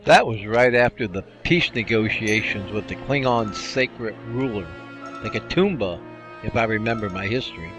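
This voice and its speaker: A man's voice: lowish voice, moderate pace, close to an everyday voice. A man playing a courteous, inquisitive starship officer in a sci-fi audio drama. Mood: polite, inquiring. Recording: music bed under the voice.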